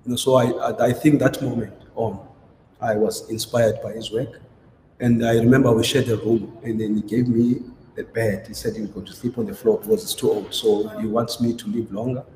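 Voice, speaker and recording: A man's voice: medium pitch, steady tone, normal speed, a little mellow. A man from South Africa, an artist, telling his story in an interview, hesitant with many restarts. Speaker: ceramic artist. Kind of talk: conversational interview. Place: gallery showroom, indoors. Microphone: video call. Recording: roomy echo with faint noise.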